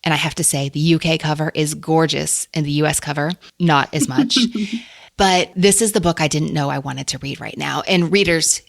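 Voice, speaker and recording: A woman's voice: sits low, steady tone, moderate pace, creaky, no room sound, clean studio sound. A woman hosting a book podcast, talking casually and enthusiastically about books and laughing often. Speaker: podcast host.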